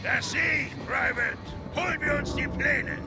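An actor playing a gruff, sarcastic older army commander in a video game, curt and commanding. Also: male voice, very high voice, measured pace, exaggerated voice. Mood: sardonic command. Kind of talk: German video game dub acting. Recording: loud music and battle noise behind.

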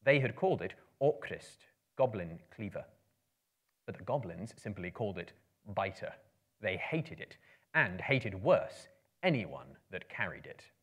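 A man in his thirties giving a dramatic book reading, with theatrical, measured, emphatic phrasing. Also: sits low, very animated, a bit bright, slightly rough. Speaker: storyteller. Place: room lined with books, indoors.

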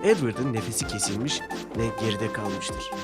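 A man's voice: lowish voice, normal speed. A man reading scripted narration for a children's story. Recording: music behind the voice.

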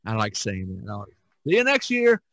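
A man hosting a casual podcast discussion, speaking in halting, hesitant phrases. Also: on the low side, huge pitch swings, a bit bright, dry recording. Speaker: podcast host.

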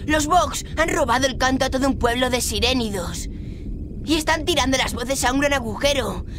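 Casting: excitable young fish sidekick for a video game female actor, boyish, high and eager. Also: normal speed, noisy background, natural voice. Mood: alarmed, urgent. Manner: eager, urgent. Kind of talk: video game character acting.

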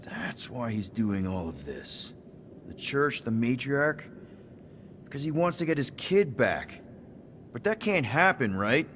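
A man's voice: mid-range voice, sing-song, slow, fairly bright tone, a little hiss or hum. An actor playing a casual, joking male space captain.

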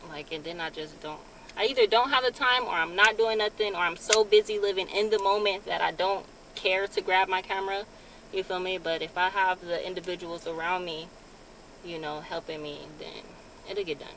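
A young woman vlogging from a parked car in a relaxed, casual way, with lots of ums. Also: on the low side, moderate pace, low-quality recording. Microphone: phone camera on dashboard. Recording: car with clear background noise. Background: vehicle ambience.

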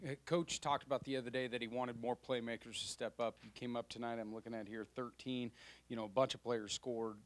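A man's voice: mid-range voice, fairly even intonation, brisk. A man asking informal questions as a sports reporter at a press conference. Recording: faint background noise and clicks.

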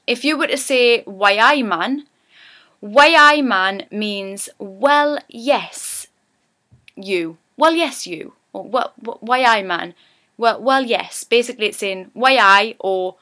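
Chatty Geordie woman with a bright voice. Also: medium pitch, slow pace. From Newcastle, England. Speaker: vlogger teaching dialect. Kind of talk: casual dialect lesson.

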